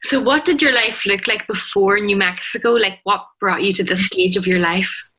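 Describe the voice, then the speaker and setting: A woman's voice: medium pitch, normal speed, bright, forceful tone, breathy edge. A woman interviewing a guest, asking curious and encouraging questions in a casual way.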